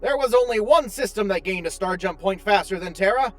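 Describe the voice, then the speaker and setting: A man's voice: sits high, expressive, fairly quick, soft background music. A man playing a pedantic, formal tour guide AI in a scripted space comedy audio drama. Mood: informative. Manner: formal, precise, didactic. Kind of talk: scripted announcement and narration.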